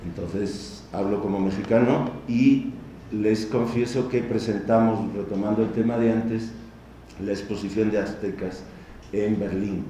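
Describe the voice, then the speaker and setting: A middle-aged man with a Spanish accent lectures in a measured, explanatory way, turning to his listeners now and then. His voice is low and mellow. It is picked up from a distance in a large hall with heavy reverb and a faint hiss underneath. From Spain. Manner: measured, explanatory, addresses the audience directly. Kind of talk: conference lecture. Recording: distant, echoey hall recording.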